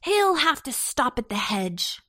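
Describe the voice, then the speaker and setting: A woman's voice: high-pitched, very animated, unhurried, pushed and bright, no room sound, clean studio sound, voice pushed up, full character voice. A woman voicing an earnest, bossy young boy, an older brother in a children's storybook, exclaiming and scolding.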